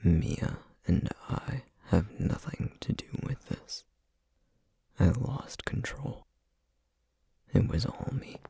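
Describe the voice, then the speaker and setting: A man voicing a brooding, guilt-ridden, vampire-like essence eater in an ASMR roleplay drama, terse and bitter, with a breathy, gravelly near-whisper. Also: low-pitched, lively intonation, slow pace, pauses often, warm tone, dry recording, heavily acted. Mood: anguished, guilty.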